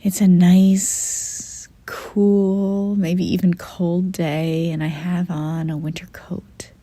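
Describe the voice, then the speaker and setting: A woman narrates a guided meditation very slowly, in a soft and breathy voice that sits close to a whisper. Her delivery is gentle and unhurried, with frequent pauses. The sound is dry and intimate, with hardly any room to it. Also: lowish voice, flat delivery, dry recording.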